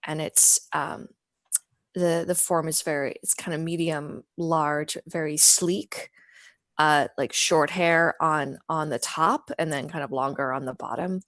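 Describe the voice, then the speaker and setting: A woman's voice: low voice, steady tone, measured pace, a bit bright, dry recording, clean studio sound. A woman playing a tabletop game, talking casually and searching for words. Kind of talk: casual actual-play chat.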